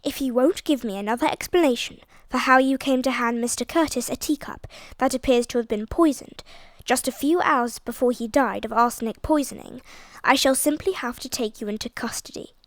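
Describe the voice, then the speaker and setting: A steady, measured police inspector, as a woman narrator puts him on, polite but firm. Calm storybook delivery in a dry recording. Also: high voice, dry recording, natural voice.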